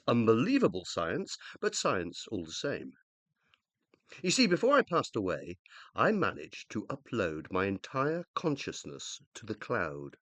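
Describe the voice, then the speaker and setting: A man acting a pompous, highly animated scientist. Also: dry recording. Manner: pompous, theatrical, easily exasperated. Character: self-important dead male scientist, indignant, living on as uploaded consciousness. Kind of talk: radio play acting, monologue and dialogue.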